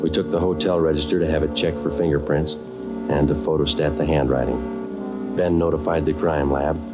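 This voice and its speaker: A stoic police detective with a very deep voice. He gives the facts in clipped, deadpan phrases, in the manner of 1940s radio noir, over prominent music. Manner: clipped, deadpan, matter-of-fact. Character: stoic male police detective narrating his own case. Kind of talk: 1940s radio crime drama acting.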